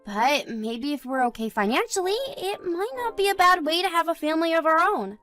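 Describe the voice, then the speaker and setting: A woman acting a high-voiced devoted young wife. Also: animated, fairly quick, a bit bright, faint music behind. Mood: reassuring, modest. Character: devoted young wife, gentle, modest, loyal to friends. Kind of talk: scripted dialogue in an audio story.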